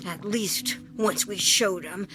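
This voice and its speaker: An actress playing a frightened, hurt woman in a radio drama, speaking in a breathless, halting, emotional way. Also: mid-range voice, over music, close to an everyday voice. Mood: shaken. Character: frightened, injured woman battling tiny silvery creatures.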